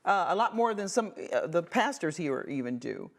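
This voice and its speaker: A television host with a mid-pitched voice leads an interview. The host talks in a friendly, loose way, filling gaps with ums and murmured mm-hmms. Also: very animated, moderate pace, creaky. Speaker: TV show host.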